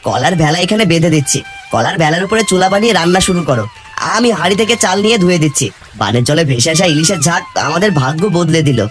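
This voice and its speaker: A man's voice: fairly high pitch, animated, fast talker, faint music behind, natural voice. A man voicing a generous, caring village fisherman and father in a cartoon fairy tale, speaking earnestly.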